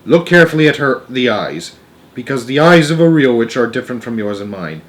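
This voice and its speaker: A man's voice: medium pitch, big pitch swings, brisk, fairly bright tone. A man voicing a grave, knowing old grandmother in a storybook, explaining grim lore emphatically and matter-of-factly. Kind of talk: storybook read-aloud character.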